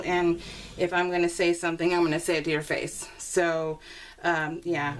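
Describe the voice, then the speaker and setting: A woman chatting casually to her viewers in a craft video, rambling and often interrupting herself in a giggly way. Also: fairly low pitch. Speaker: craft video maker showing scrapbook pages. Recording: clearly noisy home recording with clicks.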